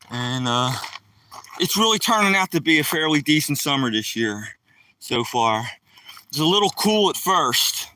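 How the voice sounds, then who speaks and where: A man's voice: mid-range voice, pushed and bright, far from the mic, a little hiss or hum. A middle-aged man from Philadelphia with a Philadelphia accent, chatting casually to viewers on a live stream while walking by a lake. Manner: rambling, talks to viewers directly. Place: outdoors by a rural lake. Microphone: phone held while walking.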